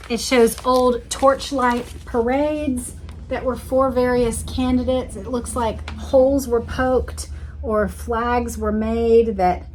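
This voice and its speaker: A woman's voice: on the high side, slight background noise. A woman hosting a casual read-along, commenting conversationally and musingly as she reads aloud and talks to her viewers. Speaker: host reading and commenting on an old magazine.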